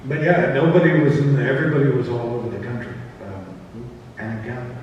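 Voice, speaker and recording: A man's voice: mid-range voice, steady tone, quick pace, dull audio. A man talking casually as a panelist in a discussion about his production work. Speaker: panelist, audio drama director and actor. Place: convention panel room. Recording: echoey hall, distant mic, faint noise.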